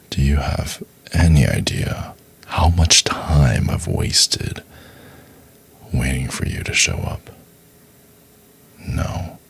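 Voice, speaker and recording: Guy doing a mean ceo asmr, super deep n breathy, slow, looking down on you. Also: heavily acted. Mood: cold contempt. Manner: condescending, slow and deliberate, often half-whispered. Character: cold, contemptuous, domineering male CEO berating an intern. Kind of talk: intimate ASMR roleplay.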